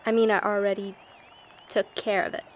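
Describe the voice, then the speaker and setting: A woman's voice: medium pitch, level tone, moderate pace, lightly acted. A woman acting a feisty, guarded young partygoer in an amateur audio drama, with noise in the background.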